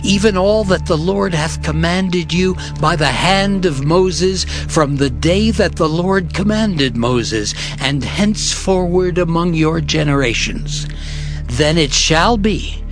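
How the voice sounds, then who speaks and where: Man reading bible stuff super slow and solemn, kinda high voice, music under it. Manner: measured, solemn. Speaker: scripture reader. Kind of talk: solemn dramatized scripture reading.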